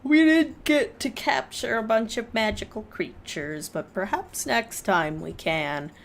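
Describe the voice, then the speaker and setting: A woman's voice: a little high, lively intonation, a little slow, gravelly. A woman hosting a game stream in casual, rambling chat, weary and self-deprecating. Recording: music behind the voice. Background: music.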